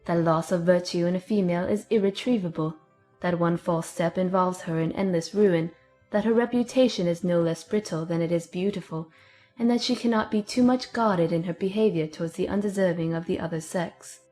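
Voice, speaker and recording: A woman's voice: on the low side, a little fast, warm tone, soft background music, natural voice. A woman playing a pompous, moralizing young sister, solemn and preachy, in a dramatized period audiobook. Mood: grave, moralizing.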